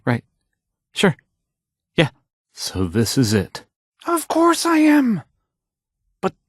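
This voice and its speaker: A man's voice: fairly high pitch, creaky, slightly rough, no echo, studio quality, full character voice. An actor playing a nervous, awkward young male radio DJ in a video game, stammering and hesitant as he talks. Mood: nervous, panicky. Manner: stammering, hesitant, unsure of himself. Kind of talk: video game character dialogue.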